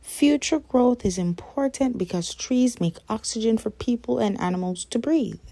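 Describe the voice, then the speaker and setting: Woman doing a kids book read aloud, slow n expressive, mellow voice, really dry close sound. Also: on the high side, no room sound. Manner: measured, expressive storytelling for young children. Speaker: children's book reader. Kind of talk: read-aloud of a children's nonfiction picture book.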